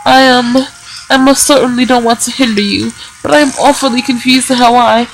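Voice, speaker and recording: A woman's voice: a little high, fairly even intonation, fairly quick, faint music behind, close to an everyday voice, rough recording. A woman voicing a timid, flustered young mage in a visual novel, hesitant, stammering and deferential. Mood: startled, deferential. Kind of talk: visual novel character read-aloud.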